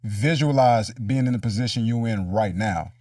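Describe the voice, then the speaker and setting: A man's voice: on the low side, moderate pace, a little mellow, no echo, clean studio sound, distant mic. A man hosting a casual, reflective conversational interview in informal language. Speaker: interviewer, show host.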